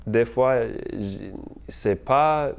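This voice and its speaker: A young man giving an unscripted campaign message, hesitant and halting, with many fillers and slips into English words. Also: medium pitch, clean tone. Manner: apologetic about his French. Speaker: mayoral candidate.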